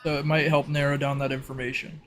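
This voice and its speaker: A man improvising narration as a tabletop game master in a halting way. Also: fairly high pitch, level tone, a bit bright. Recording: clearly noisy background.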